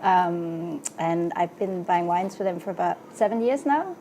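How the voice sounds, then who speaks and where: A woman's voice: lowish voice, measured pace, slight background noise, poor audio. A woman in her forties from Denmark, a restaurant wine buyer, introducing herself on a podcast in a hesitant way full of ums. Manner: hesitant, many ums, laughs softly.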